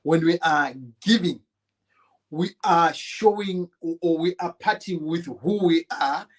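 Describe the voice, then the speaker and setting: A middle-aged man with a Zimbabwean accent, talking earnestly in a relaxed conversation, often repeating words as he searches for them. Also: fairly high pitch, unhurried. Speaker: podcast co-host. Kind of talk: relaxed conversational advice talk.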